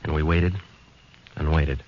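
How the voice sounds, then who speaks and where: An American actor playing a terse, weary police sergeant in a deadpan, clipped manner, in the style of a 1950s radio crime drama. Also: male voice, extremely low pitch, a little slow. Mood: matter-of-fact. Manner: deadpan, matter-of-fact. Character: terse, weary male police detective sergeant narrating his case. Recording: muffled, noisy old radio recording.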